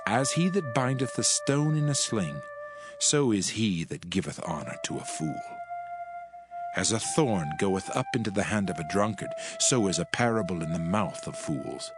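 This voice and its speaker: Deep, expressive male Bible narrator over music. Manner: solemn, declamatory, measured phrasing. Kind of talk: dramatized scripture reading. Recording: music behind the voice. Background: background music.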